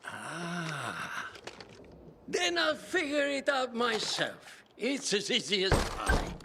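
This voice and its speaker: High, creaky, excitable eccentric bomb-maker. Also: male voice, slight background noise, full character voice. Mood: cocksure. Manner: theatrical, halting with repeats and drawn-out words. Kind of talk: video game cutscene acting.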